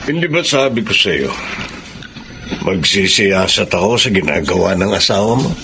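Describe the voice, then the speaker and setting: An actor playing a protective older father in a radio drama, speaking gravely, deliberately and with emphasis. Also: deep voice, big pitch swings, over music. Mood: grave, insistent. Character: protective, grave older father exposing his son-in-law's affair. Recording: clear background noise.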